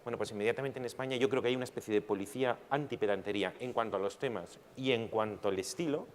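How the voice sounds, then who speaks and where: A man's voice: on the low side, fast. Reflective man arguing on a panel. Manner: reflective, argumentative, with rhetorical questions. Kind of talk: panel discussion. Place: large hall at a public panel. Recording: hall reverb with faint noise.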